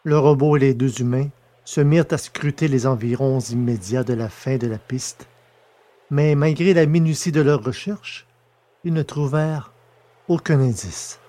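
A man narrating a science fiction audio drama in a steady, measured storytelling way. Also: mid-range voice.

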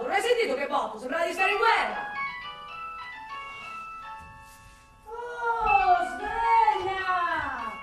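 An actress playing a cynical, foul-mouthed female forensic investigator in a theatrical radio drama, blunt and sardonic. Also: sits high. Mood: irritated, scornful. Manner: sardonic, blunt, swinging from muttering to outbursts. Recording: echoey, distant stage sound with music.

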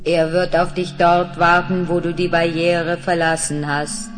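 Slow, echoing planetary intelligence played by a man. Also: fairly high pitch, completely flat delivery, natural voice. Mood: calm, solemn. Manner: measured, solemn. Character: calm, solemn planetary collective intelligence, telepathic and commanding. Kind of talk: 1970s German radio play acting. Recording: vintage radio play, cavernous reverb, music.

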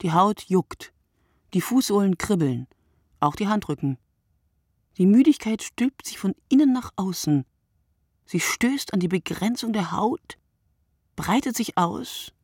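A woman playing a weary, sleepless night thinker in a literary radio play, speaking in measured, contemplative fragments with a hushed tone. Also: medium pitch, expressive, husky, dry recording, studio quality. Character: weary, sleepless woman narrating her night-watch thoughts.

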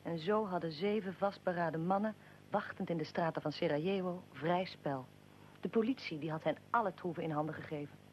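An actor as a solemn chronicler in a historical radio play. The delivery is measured and declarative, with a probing edge, over a faint rumble and hiss. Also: close to an everyday voice. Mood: tense narration. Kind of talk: scripted radio drama narration.

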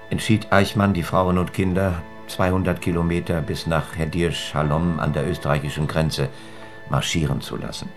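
An actor playing a grave museum historian, giving a sober, measured account in a radio drama. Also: male voice, a bass voice. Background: faint music.

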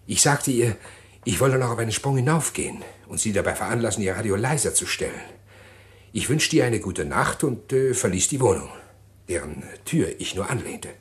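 Guy acting a smooth scheming husband in an old german crime radio play, formal n polite, pitch moves around a lot. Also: lively intonation, lightly acted. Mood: measured, confessing. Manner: measured, formal storytelling with polite hesitations. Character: smooth, scheming husband confessing a murder plot, outwardly courteous.

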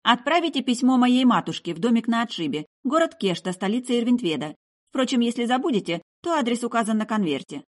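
A woman voicing an odd, chatty young sorceress in an audiobook, breezy and quick to take offence. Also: fairly high pitch, very animated, pitched above the performer's own voice. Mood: brisk, bossy. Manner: breezy, indignant, talkative.

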